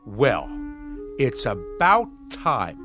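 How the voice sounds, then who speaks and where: A man's voice: medium pitch, wildly swooping pitch, very slow, loud music behind the voice, full character voice. A man playing a pompous, bossy, sarcastic snob in a radio drama. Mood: irritated. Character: pompous, bossy, sneering businessman type.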